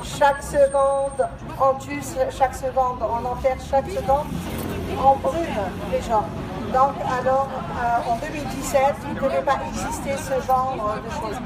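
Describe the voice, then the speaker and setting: A woman's voice: high voice, level tone, measured pace, very noisy. A woman making an impassioned, repetitive outdoor protest speech, with fillers and repeated phrases. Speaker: protest organizer. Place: outdoors on a city square at a protest. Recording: street protest with a crowd around.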